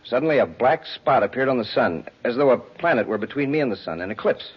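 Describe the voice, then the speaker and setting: An actor playing an earnest, shaken space lieutenant, intense and insistent, in a 1950s radio serial. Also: male voice, medium pitch, lively intonation, quick pace, husky. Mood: troubled. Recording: dull 1950s radio recording.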